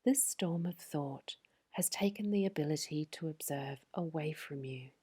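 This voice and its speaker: A woman whispering a guided sleep meditation in a soft, breathy voice, slow and soothing. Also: sits low.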